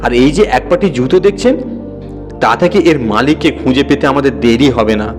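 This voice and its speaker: A man's voice: medium pitch, moderate pace, long reverb tail, over music, natural voice. A man voicing a courteous, confident police inspector with an assured official manner in an audio story.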